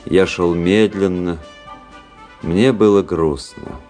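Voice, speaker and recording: A low male voice with music behind it. a cynical young gentleman officer in a radio drama, detached and ironic, with a brooding, introspective streak. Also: slow. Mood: brooding, melancholy. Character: cool, cynical, melancholy young gentleman officer, narrating and conversing. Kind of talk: Russian radio play acting, literary narration.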